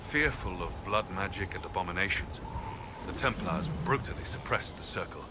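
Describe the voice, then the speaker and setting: Under loud ambient noise, a very low-voiced male champion from a fantasy game. He is weary and dutiful, speaking with measured, understated gravity and quiet resolve. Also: animated. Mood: somber.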